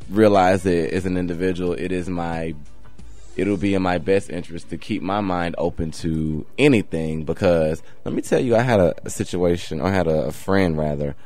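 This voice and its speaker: A man hosting a talk show, asking questions and telling a story in a lively way that rises with emphasis. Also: low-pitched. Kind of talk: interview and anecdote.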